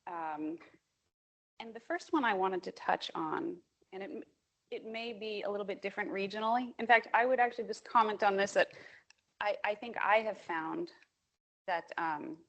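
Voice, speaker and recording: A woman's voice: medium pitch, normal speed, fairly bright tone. A woman moderating a conference panel discussion, talking in a friendly, chatty way with fillers. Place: conference hall at a forum.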